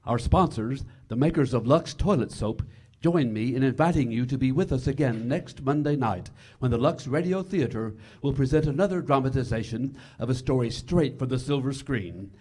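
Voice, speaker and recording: A man's voice: medium pitch, lively intonation, normal speed, a little mellow, breathy edge. A man playing a polished, gracious 1940s radio host giving a formal scripted sign-off.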